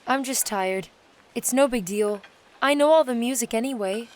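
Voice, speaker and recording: A woman's voice: fairly high pitch, moderate pace, a little mellow, slight background noise, lightly acted. A woman playing a withdrawn, weary teenage girl in an audio drama, hesitant and apologetic. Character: weary, withdrawn, depressed teenage girl, a high school student.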